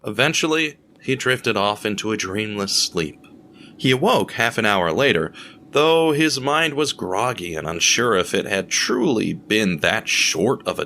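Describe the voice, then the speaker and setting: A man with a lowish voice and a bright, forceful edge narrates a story at a measured pace. He slows down for the somber moments. A faint hum of ambience sits under the recording. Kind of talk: scripted audio drama narration.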